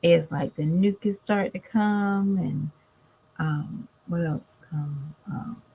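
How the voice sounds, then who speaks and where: A woman's voice: fairly low pitch, takes it slowly, warm tone, husky, dull audio. A tired, congested woman chatting casually to her viewers in a vlog. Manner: chatty, addresses viewers directly. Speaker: vlogger. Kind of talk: casual chat to camera.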